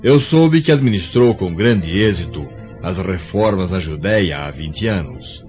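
Deep commanding roman emperor, formal radio play, muffled recording, soft music behind. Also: male voice, expressive, unhurried. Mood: stately, confiding. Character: authoritative Roman emperor, a courteous older man and father. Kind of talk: formal radio drama acting.

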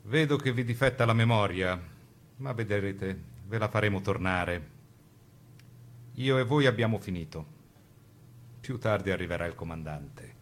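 An actor playing a menacing, coldly polite fascist interrogator in a radio drama, with a condescending manner. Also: male voice, lowish voice, a little slow, long pauses, natural voice. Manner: condescending, insinuating. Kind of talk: stage radio drama dialogue.

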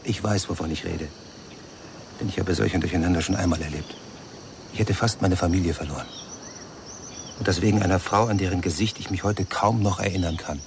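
A man's voice: deep voice, brisk, long pauses. An actor playing a reserved, middle-aged married man in a radio drama, bluntly honest and matter-of-fact. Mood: guarded, blunt. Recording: noisy ambience with insects.

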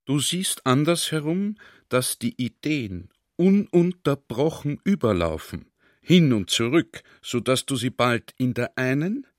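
Talkative general, male, mid-pitched, earnest, confiding, military phrasing, radio drama. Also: sing-song, unhurried. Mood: exasperated. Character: talkative Austrian army general, earnest, baffled by ideas.

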